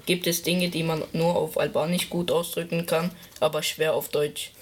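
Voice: mid-range voice, level tone, normal speed, husky. An interviewer who asks short, formal questions in German.

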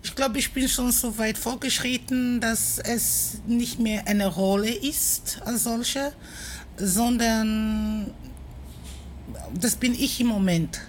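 A slow-speaking woman from Cameroon whose first language is not the one she speaks, her voice a little high. She answers an interview in a thoughtful, hesitant way, full of pauses and fillers. A faint hum sits behind her on the recording. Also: low-quality recording. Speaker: interviewee, performer and activist.

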